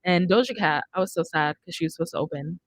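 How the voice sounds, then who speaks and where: A woman's voice: fairly low pitch, fast, slight background noise. A young woman hosting a casual music podcast, chatting into a close studio microphone. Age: young adult. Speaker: radio show host. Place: indoor studio. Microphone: close studio mic on boom arm, headphones.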